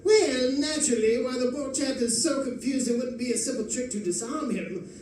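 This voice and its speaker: An actor playing a gleeful, erudite criminal mastermind in an old-time radio drama, florid and condescending in manner. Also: male voice, very high voice, brisk, a little mellow. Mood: smug, scheming. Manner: theatrical, condescending, chuckling, florid. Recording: distant, very echoey live stage recording, faint noise.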